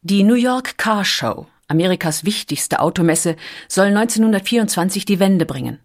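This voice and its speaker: A woman reading a documentary script as narrator, with a calm, clear storytelling delivery. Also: mid-range voice, moderate pace.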